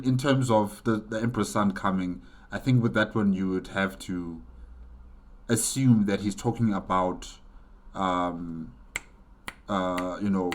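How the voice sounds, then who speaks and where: A man giving reflective solo reaction commentary, pausing as he thinks aloud. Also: fairly low pitch. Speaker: reaction video host.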